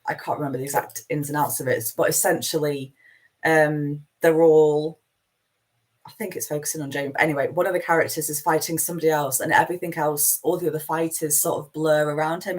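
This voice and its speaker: Low-voiced woman with a Manchester accent. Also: steady tone, fairly quick. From Manchester, England. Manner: meandering, self-deprecating, chatty. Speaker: spiritual teacher hosting a live session. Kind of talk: rambling live spiritual talk.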